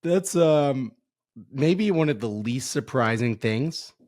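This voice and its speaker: Young man with a hoarse, raspy voice. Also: mid-range voice, animated, slow, a little mellow. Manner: laid-back, self-deprecating. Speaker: podcast co-host. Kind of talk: casual sports podcast banter.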